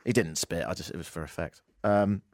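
A man's voice: deep voice, fairly quick, darkish tone, no room sound, studio quality. A man hosting a radio show, delivering a mocking, exasperated comic rant.

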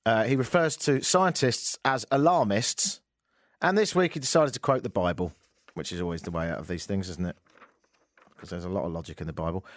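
A man's voice: medium pitch, no echo. A man hosting a radio show, delivering a mocking, exasperated comic rant.

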